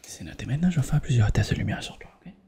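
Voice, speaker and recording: A man with a Quebec French accent doing a soft, whispered ASMR roleplay as an examiner, gently repeating phrases and checking in with the listener. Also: mid-range voice, lively intonation, racing, creaky. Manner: gentle, repetitive phrasing with reassuring check-ins. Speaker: ASMR performer playing an eye examiner.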